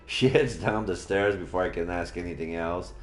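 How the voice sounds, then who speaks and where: A male streamer with a fairly low voice reads a visual novel aloud. He drops in casual commentary, and the room around him is clearly echoey. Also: moderate pace. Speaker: live streamer.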